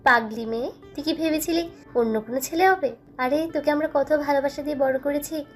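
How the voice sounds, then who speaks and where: Indulgent mother played by a woman, high voice, fast delivery, fondly chiding, audio drama. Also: lightly acted. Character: affectionate, indulgent mother of a grown daughter. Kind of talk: audio story acting. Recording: music under the voice.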